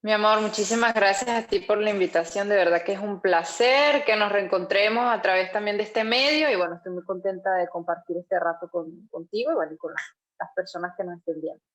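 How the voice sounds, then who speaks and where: A woman's voice: mid-range voice, brisk, dull audio. Chatty Venezuelan woman with many fillers. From Caracas, Venezuela. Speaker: guest musician. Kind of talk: casual interview chat.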